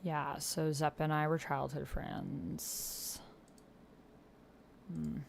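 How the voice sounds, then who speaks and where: Low-pitched woman streaming casually. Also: little pitch movement, extremely slow, vocal fry. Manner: talks to self, reacts aloud, murmurs while reading and typing. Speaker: livestream host. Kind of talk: casual livestream chat while playing a text roleplay game. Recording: background noise with keyboard typing. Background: computer keyboard typing.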